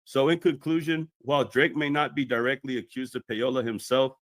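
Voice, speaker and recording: A man's voice: medium pitch, fairly quick, dry recording. A man delivering scripted explanatory commentary as a video commentator.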